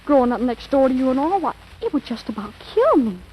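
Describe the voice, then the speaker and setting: A woman's voice: sits high, sing-song, fast talker, lo-fi sound. An actress playing a dramatic, wheedling fifteen-year-old girl in a 1940s radio drama, pleading melodramatically. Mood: coaxing, worried. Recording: noisy old radio broadcast recording.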